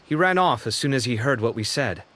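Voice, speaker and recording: An easygoing young companion played by a man talks things through in a casual, thoughtful way at a middling pitch. Faint hiss runs underneath. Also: animated, fairly quick, fairly bright tone, natural voice. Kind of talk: game voice acting.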